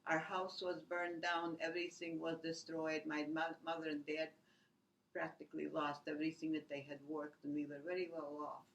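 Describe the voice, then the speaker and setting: A woman, recalling her life in a halting oral history interview, full of restarts and fillers. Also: sits low, level tone, poor audio. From Latvia. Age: 80s. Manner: halting, many restarts and "you know" fillers. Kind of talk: rambling personal recollection.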